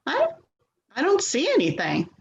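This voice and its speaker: A woman's voice: sits high, wildly swooping pitch, a little slow, gravelly, exaggerated voice. A woman in a play reading, playing an impatient, defensive grown daughter who sounds exasperated and dismissive. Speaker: actor in a readers theater play. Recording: roomy echo, slight background noise.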